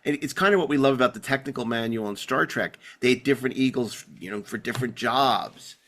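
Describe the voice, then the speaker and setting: A man's voice: medium pitch, quick pace, fairly bright tone. Middle-aged American man, rambling enthusiast. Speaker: podcast host. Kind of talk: casual enthusiastic fan chat.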